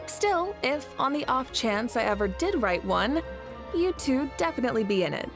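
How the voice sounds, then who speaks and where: A woman's voice: sits high, big pitch swings, moderate pace. A woman voicing a confident, passionate adult ruler in a game, teasing and laughing easily. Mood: joking. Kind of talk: game voice acting. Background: faint game music.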